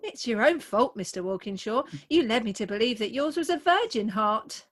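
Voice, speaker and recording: A woman's voice: a little high, expressive, normal speed. A woman playing a sentimental, jealous young bride in a Victorian comic radio play, with an earnest, declamatory delivery. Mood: high-minded, reproachful. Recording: remote home video-call recording.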